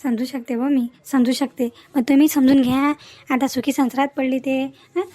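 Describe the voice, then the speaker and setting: High-voiced, soothing middle-aged mother played by a woman. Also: level tone, moderate pace, dark tone. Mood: placating. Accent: Vidarbha Marathi. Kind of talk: cartoon family drama dialogue.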